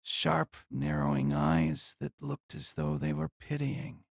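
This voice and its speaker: A man's voice: rumbling low, very animated, takes it slowly, vocal fry, no echo, clean studio sound. A man giving solemn, theatrical scripted narration of a fantasy novel.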